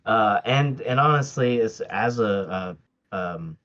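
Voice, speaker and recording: A man's voice: lowish voice, animated, takes it slowly, fairly bright tone, lo-fi sound. A man whose first language is Tagalog, chatting casually as a podcast guest, with lots of fillers and restarts. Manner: hesitant, many fillers and restarts, laughs often. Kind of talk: casual interview chat.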